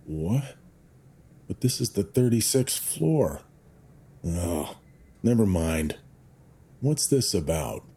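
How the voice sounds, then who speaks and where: A groggy, whiskey-soaked politician acted by a man in an audio drama, husky and dark in tone. He blusters irritably and slowly, with a widely swooping low pitch. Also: sing-song, heavily acted. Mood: irritable, bemused. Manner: blustering, colloquial.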